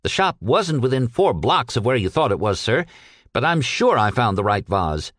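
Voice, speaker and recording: Storybook errand man, one-armed and dogged; earnest, insistent, animated intonation, dry sound male narrator acting. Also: mid-range voice, moderate pace, no echo. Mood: apologetic, dutiful. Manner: pleading, polite, insistent. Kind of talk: audiobook character dialogue.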